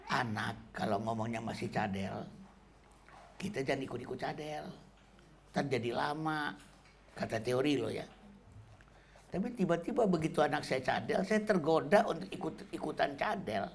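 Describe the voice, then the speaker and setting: A middle-aged man talks through parenting ideas in a loose, chatty lecture style, often closing thoughts with easy fillers. His voice has a gravelly, creaky edge in a mid-range pitch. Faint background noise sits under the recording. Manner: relaxed, conversational, with frequent fillers like "gitu ya". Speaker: parenting speaker.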